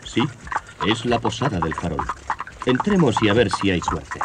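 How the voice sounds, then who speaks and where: An actor playing a greedy, pushy rich man with a husky, blustering voice on a storybook cassette. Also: fairly low pitch, big pitch swings, normal speed, very noisy. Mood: calm.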